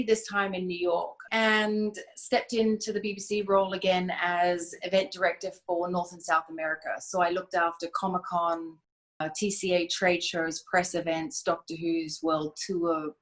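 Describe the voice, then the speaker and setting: A woman answering interview questions as a business founder, measured and reflective, sometimes restarting a phrase. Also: lowish voice, low-quality recording. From Australia. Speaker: guest, event company founder.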